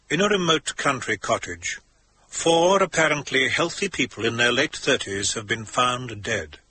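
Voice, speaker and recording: An actor playing a formal radio newsreader, delivering a scripted bulletin with measured emphasis in a drama. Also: male voice, medium pitch, bright, forceful tone, slightly rough, exaggerated voice.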